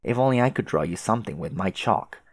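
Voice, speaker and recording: A man voicing a pushy, excitable female alien troll who taunts in wordy, emphatic phrases for a comic dub. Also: lowish voice, fast, dry recording, clean studio sound. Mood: eager, insistent. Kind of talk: fan comic dub dialogue.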